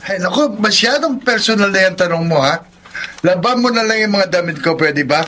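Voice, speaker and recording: Radio drama role: belligerent Filipino husband and father, high-pitched forceful voice, sneering and evasive. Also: animated, normal speed, slight background noise. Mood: dismissive, irritated. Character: abusive, hot-tempered, evasive husband and father.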